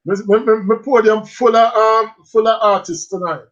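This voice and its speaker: A woman's voice: fairly even intonation, normal speed. A woman with a Jamaican accent hosting a live stream in a chatty, affectionate way and calling guests "my dear". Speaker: host. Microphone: video call mic. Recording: muffled low-fi video call.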